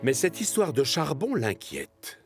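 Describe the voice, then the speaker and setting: A man narrating a children's cartoon in a lively, expressive storytelling manner. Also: mid-range voice, measured pace. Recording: narration over music.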